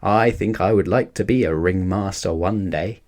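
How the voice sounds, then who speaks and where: A man's voice: sits low, normal speed, a little mellow, pitched below the performer's own voice, natural voice. A man voicing a polite, eager young bear in a children's storybook, earnest and courteous. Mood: curious, admiring.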